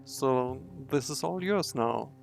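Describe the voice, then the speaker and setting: A man narrates a game playthrough, moving between commentary and read-aloud story. His medium-pitched voice has a noticeable creak, with music playing faintly behind. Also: slow pace.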